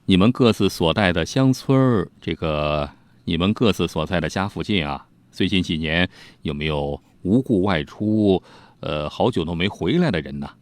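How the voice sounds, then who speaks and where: Man as a low-voiced shrewd county magistrate. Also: lively intonation, moderate pace, no room sound. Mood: questioning, decisive. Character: shrewd, decisive male county magistrate of imperial China.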